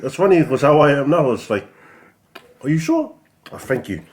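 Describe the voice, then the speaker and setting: A man's voice: fairly high pitch, sing-song, moderate pace, darkish tone. A young man, a rapper guest, chatting casually and laughing as he acts out relatives' voices. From Pacific Islands. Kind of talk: casual chat.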